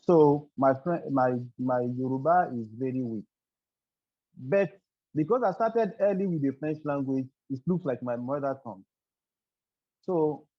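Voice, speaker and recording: A man's voice: medium pitch, no room sound. Earnest man on a dull call. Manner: earnest, repeats words while searching for them. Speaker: webinar guest speaker. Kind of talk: formal presentation. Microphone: video call. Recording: dull dry video call audio.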